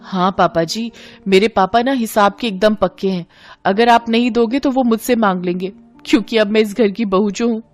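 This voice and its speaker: A woman's voice: mid-range voice, normal speed, faint music behind, close to an everyday voice. A woman voicing a spoiled, blunt young married woman with a breezy, pert manner in an audio story. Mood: insistent.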